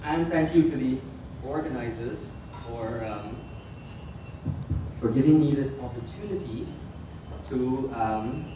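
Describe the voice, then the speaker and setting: A middle-aged man from Guyana delivers a conference lecture, stopping often with ums and restarting his sentences. He is recorded in a very echoey hotel hall from some distance, and room noise stays clearly audible behind him. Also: medium pitch, highs cut off. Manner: halting, many ums and restarts. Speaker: guest lecturer.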